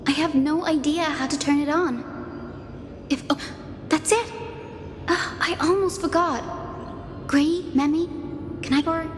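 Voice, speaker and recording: A woman voicing a gentle, encouraging young female guide in a video game, friendly and explanatory. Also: sits high, unhurried, pauses often. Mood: puzzled, friendly. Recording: hall reverb, music behind.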